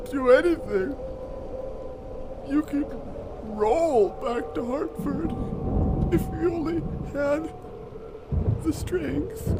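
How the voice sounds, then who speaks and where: A badly hurt, devoted young man, speaks in a high, strained register. He is slow and halting, weak and close to tears as the cold takes him. Loud ambient noise surrounds the voice. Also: exaggerated voice. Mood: desperate, pleading. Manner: halting, broken phrases, tearful. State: weak, gasping, crying. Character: badly injured young man, devoted, desperate, freezing to death. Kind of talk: audio drama acting. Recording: noisy, loud wind-like ambience behind.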